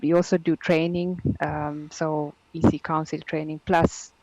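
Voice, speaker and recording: A woman with a mixed Sri Lankan and Geordie accent speaks as an interview guest. Her voice sits fairly low and the sound is dry and close. She laughs easily and stumbles over her first words before settling into her point. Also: flat delivery, unhurried, warm tone, no room sound, muffled recording. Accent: mixed Sri Lankan and Geordie English. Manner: laughs easily, repeats words when starting sentences. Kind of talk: casual interview conversation.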